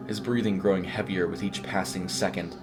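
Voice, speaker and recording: A man's voice: deep voice, fairly even intonation, fairly quick, music in the background. A man reading a scripted short story as a dramatic narrator.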